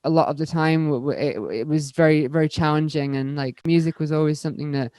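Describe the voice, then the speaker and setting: A young woman speaking as a panel guest, candid and stumbling, repeating words as she rushes ahead. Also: low voice, dark tone, dry recording, studio quality. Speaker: panel guest, electronic musician. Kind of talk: panel discussion.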